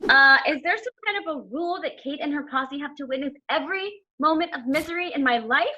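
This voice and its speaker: A woman's voice: high-pitched, fairly quick, bright, forceful tone, dull audio, slight background noise. An actress reading an awkward, self-conscious teenage girl at a table read, exasperated and dramatic.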